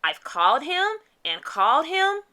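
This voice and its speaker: A woman's voice: high voice, sing-song, a little slow, sharp tone, voice pushed up, exaggerated voice. A woman voicing a little old male elf helper, anxious and hesitant, in a children's storybook. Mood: fretful.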